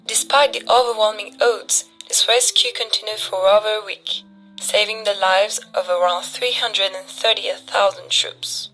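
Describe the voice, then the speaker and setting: A woman's voice: medium pitch, steady tone, normal speed, sharp tone, poor audio. A woman gives scripted documentary narration as a newsreel reader. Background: background music.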